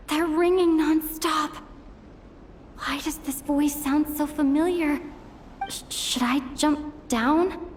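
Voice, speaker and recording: Game adventurer, female: curious but cautious, slow musing delivery, long cavernous reverb. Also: high-pitched, slight background noise. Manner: hesitant, thinking aloud to herself. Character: wary, curious young female adventurer exploring a cave. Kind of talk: video game voice acting. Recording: long reverb, music underneath. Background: game music.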